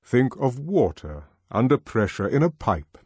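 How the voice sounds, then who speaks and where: A man reading an audiobook voices a lofty male controller lecturing students in measured, pointed pronouncements. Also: medium pitch, sing-song, husky, no echo, clean studio sound. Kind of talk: audiobook character voice.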